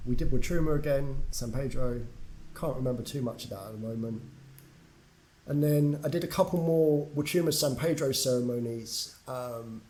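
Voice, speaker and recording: A man's voice: medium pitch, darkish tone, poor audio. A young man from England, a podcast guest telling his story in a candid, casual way, swearing freely and using lots of fillers. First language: English.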